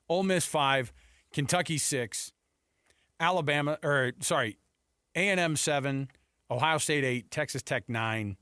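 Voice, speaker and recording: A man's voice: medium pitch, pushed and bright, no room sound. An American man talks sports as a show co-host. His delivery is informal and a bit rambling, full of asides, with a mid-range voice and a dry, close sound. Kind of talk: conversational sports talk.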